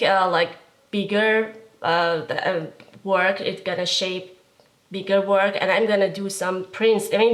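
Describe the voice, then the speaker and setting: A young woman talks about her work in an art studio. She speaks slowly and hesitantly, often pausing to search for words. Her pitch sits in an ordinary middle range. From Kurdistan region of Iran. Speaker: visual artist, interviewee. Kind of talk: casual interview.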